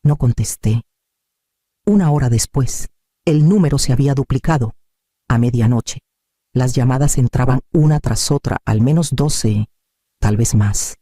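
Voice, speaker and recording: Mellow, dark-toned man narrating a story. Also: medium pitch, measured pace, long pauses, dry recording, studio quality. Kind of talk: scripted dramatic narration, first-person story.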